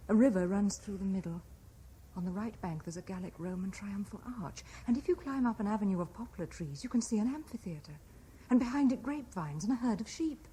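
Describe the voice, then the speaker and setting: An actress with a British accent playing a wistful, enigmatic married woman in a 1970s radio drama, musing with soft intensity. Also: medium pitch, expressive, a little fast, close to an everyday voice. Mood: haunted, wistful. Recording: noisy 1970s radio broadcast recording.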